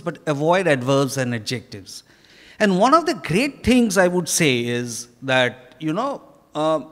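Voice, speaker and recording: A middle-aged man with an Indian accent, speaking as an interviewed author in deliberate, halting, emphatic phrases. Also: sits high. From Kolkata, India. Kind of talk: reflective on-stage interview answers. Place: large hotel ballroom stage. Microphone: handheld microphone. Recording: echoey hall PA with long reverb.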